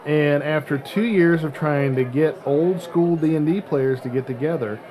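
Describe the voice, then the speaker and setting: A man's voice: fairly high pitch, moderate pace, a crowd in the background, poor audio. A man hosting a casual podcast, reading out listener mail and bantering in a joking, teasing way. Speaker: podcast host.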